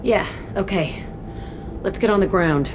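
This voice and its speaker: A woman's voice: mid-range voice, unhurried, heavy background noise, lightly acted. An actress playing a tough, bitter young woman, speaking blunt and sardonic. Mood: wary.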